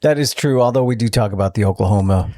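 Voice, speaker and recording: A man's voice: on the low side, fast talker, warm tone, dry recording. A New England man hosting a casual podcast chat, asking questions and listening closely with short replies. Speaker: podcast host.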